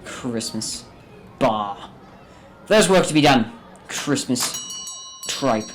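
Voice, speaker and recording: A man's voice: medium pitch, expressive, drawn-out words. A man playing a crotchety, scolding old miser businessman in a radio drama. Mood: indignant, scornful. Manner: scolding, indignant, barking demands. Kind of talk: stage-like radio drama acting.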